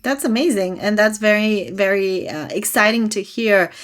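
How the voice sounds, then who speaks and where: Host, woman, mid pitch, warmly encouraging interviewer. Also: moderate pace. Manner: friendly, encouraging questions. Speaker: podcast host. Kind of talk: podcast interview.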